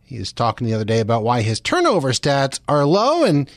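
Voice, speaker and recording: A man's voice: mid-range voice, wildly swooping pitch, a little fast, dry recording, studio quality. A man hosting a radio show, giving sports and weather updates in a conversational way. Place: radio studio.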